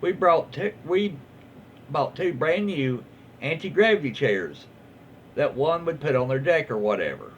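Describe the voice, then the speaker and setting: Southern US male host, higher-pitched, very lively read-aloud, folksy and loose, slightly muffled recording. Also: unhurried. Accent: Southern American. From southern United States. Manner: folksy, informal, stumbles over words while reading. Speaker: host reading Reddit stories aloud. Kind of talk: casual read-aloud with off-the-cuff commentary. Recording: muffled lo-fi audio, faint background noise.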